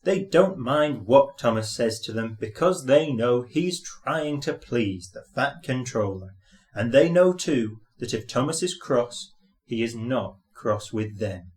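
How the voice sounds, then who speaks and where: A man's voice: mid-range voice, animated, unhurried, darkish tone. A man reading a scripted children's story as narrator.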